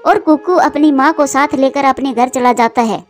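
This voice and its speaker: A female storyteller with a high voice narrates a scripted children's cartoon tale. Soft music runs underneath her reading. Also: moderate pace.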